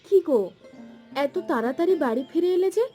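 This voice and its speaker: A woman's voice: high voice, animated, a little fast, warm tone, faint music behind. An actress playing a devoted, anxious middle-aged village wife of a fisherman in an emotional cartoon folk tale. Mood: worried. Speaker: cartoon voice actor.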